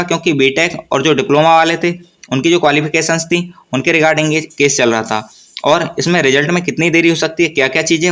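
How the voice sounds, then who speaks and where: Bright-voiced man explaining. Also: a little high. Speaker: host of an exam news channel. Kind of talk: informal explainer to viewers, reading out notices.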